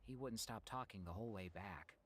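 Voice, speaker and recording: A man's voice: lowish voice, brisk, a bit bright, a little hiss or hum, lightly acted. An actor playing a concerned adult man from a theater troupe in a game. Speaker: game character.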